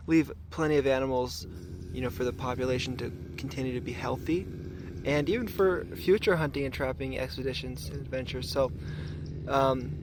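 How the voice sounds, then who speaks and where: A man with a raspy voice today, talking casually and thoughtfully to a camera while checking traps outdoors, with filler ums and restarts. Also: medium pitch, noisy background. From southern Arizona. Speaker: trapper filming his trapline. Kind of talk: casual outdoor vlog narration. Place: outdoors in desert washes.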